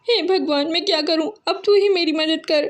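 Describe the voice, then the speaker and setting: A high-voiced, distraught mother bird from a cartoon fable, played by a woman. She wails and pleads for help. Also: moderate pace. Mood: desperate.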